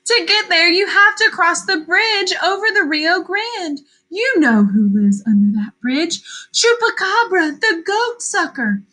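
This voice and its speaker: A woman's voice: extremely high pitch, very animated, unhurried, pushed and bright, voice pushed up, exaggerated voice, poor audio. A woman voicing an anxious mother goat. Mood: worried. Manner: anxious, pleading warnings.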